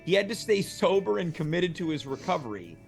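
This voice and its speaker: A man's voice: sits high, big pitch swings, brisk, gravelly, faint music behind. A man hosting a casual show, chatting and reading scripted text aloud. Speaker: show host.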